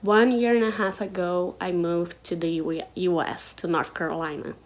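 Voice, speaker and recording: A woman, introducing herself haltingly as a researcher. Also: fairly low pitch, fairly even intonation. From Brazil. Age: 30s.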